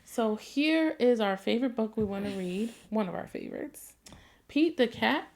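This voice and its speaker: A woman reading a picture book aloud alongside her child, with a gentle, coaxing teacher tone and questions for young listeners. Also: on the high side, animated, moderate pace. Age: adult parent. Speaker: child care center staff member reading to children.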